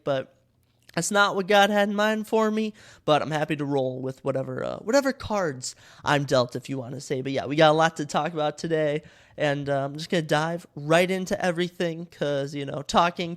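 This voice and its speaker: A young man with a slightly higher voice hosts his own sports podcast, talking loosely and rambling with lots of fillers. His nose is stuffed up from a cold, which gives every line a blocked, congested sound. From Minnesota. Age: young adult. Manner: rambling, talkative, many fillers and restarts. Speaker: podcast host. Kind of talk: casual solo sports podcast.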